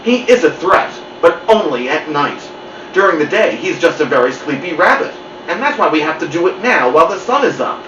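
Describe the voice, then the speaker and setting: Bossy scheming cat for a storybook, played by a man: insistent, exasperated, animated pitch, distant muffled mic. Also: a little fast, echoey room, a little hiss or hum. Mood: urgent, bossy. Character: bossy, impatient, scheming male house cat. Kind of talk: animated children's story reading.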